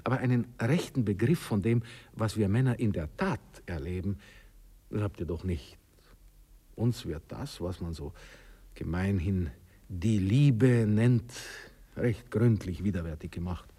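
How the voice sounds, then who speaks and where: A moralizing Austrian husband in an old radio drama, with a low, slightly husky voice. He lectures like a condescending father, pompous and easily scandalized, hesitating over delicate words. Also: unhurried, warm tone. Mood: pompous, lecturing. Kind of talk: 1960s German-language radio play acting. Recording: reverberant 1960s radio drama studio recording.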